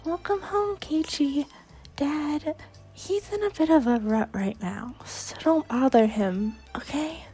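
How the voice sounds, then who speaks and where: A woman's voice: a little high, a little slow, warm tone, faint music behind. A woman voicing a protective mother, coaxing and motherly, in a visual novel reading. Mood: hushed, gentle. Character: protective, soft-spoken mother of a teenage boy.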